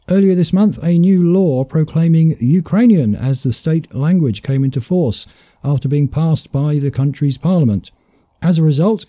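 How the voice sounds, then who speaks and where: Dark-toned man reading news steadily. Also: on the high side, dry recording. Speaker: host. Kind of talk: reading a news report aloud.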